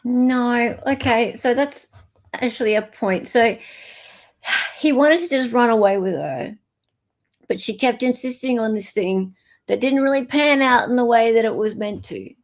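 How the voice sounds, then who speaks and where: A woman's voice: fairly high pitch, unhurried. A woman hosting a casual commentary, thinking aloud in an informal, flippant way. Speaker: host commentator.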